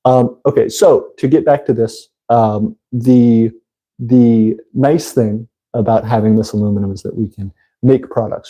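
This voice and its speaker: A man with a congested voice, hosting a casual livestream and talking haltingly with many fillers. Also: mid-range voice, mellow, poor audio. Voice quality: congested, nasal. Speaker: livestream host. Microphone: phone.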